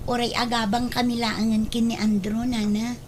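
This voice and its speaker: Figure: actress as high-voiced young wife. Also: female voice, level tone, moderate pace, slight background noise, natural voice. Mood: grateful, polite. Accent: Filipino, Ilocano. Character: young pregnant college student wife, grateful and polite. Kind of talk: Ilocano radio drama acting.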